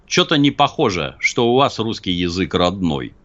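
A man with a very deep, low voice speaks as a guest on a political talk show. He argues with irony and acts out the people he quotes. The sound is dull and lo-fi. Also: big pitch swings, normal speed, fairly bright tone. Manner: ironic, argumentative, acts out quotes.

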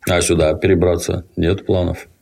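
A man's voice: sits low, flat delivery, moderate pace, gravelly, a little mellow. A man interviewing a guest in casual conversation, asking short blunt questions.

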